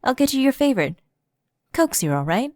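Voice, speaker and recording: A woman's voice: sits high, very animated, a little fast, a little mellow, no echo, clean studio sound. A woman playing a personal assistant who is secretly a fallen angel, evasive and coaxing, in a conversational ASMR roleplay. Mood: nervously breezy.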